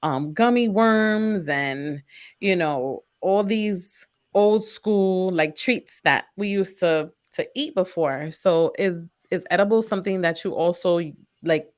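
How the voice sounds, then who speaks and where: A woman with a New York accent, chatting casually as a podcast host, relaxed and full of fillers. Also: fairly low pitch, slow pace. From Brooklyn, New York. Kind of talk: casual chat.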